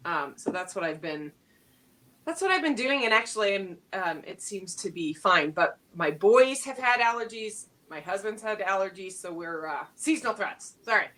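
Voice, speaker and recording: A woman's voice: mid-range voice, lively intonation, moderate pace, a bit bright, recorded from a distance. A middle-aged woman co-hosting a casual wellness livestream, bubbly and laughing often as she talks with many fillers. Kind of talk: casual chat.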